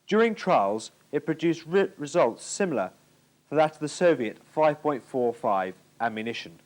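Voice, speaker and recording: Higher-pitched, lively British male narrator. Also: normal speed. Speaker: narrator of a military training film. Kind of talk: scripted instructional narration. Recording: 1980s archive training film soundtrack.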